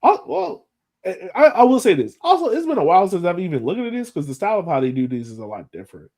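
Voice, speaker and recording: Higher-voiced man chatting on a podcast. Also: very animated, fast, a little mellow. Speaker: podcast host. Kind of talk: casual sports podcast chat.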